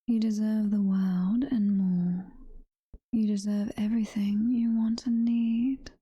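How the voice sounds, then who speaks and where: A British woman voicing a sweet, motherly, possessive demon girlfriend in a slow, cooing, breathy whisper for intimate ASMR roleplay. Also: medium pitch, level tone, warm tone, no room sound, muffled recording. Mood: adoring, soothing. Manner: slow, cooing, full of pet names.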